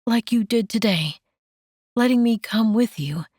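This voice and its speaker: Woman narrator as a tender young heroine, pitched up. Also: animated, a little slow, darkish tone, no echo, studio quality. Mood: tender, encouraging. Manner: tender, earnest, encouraging. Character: caring, gently teasing, brave young woman.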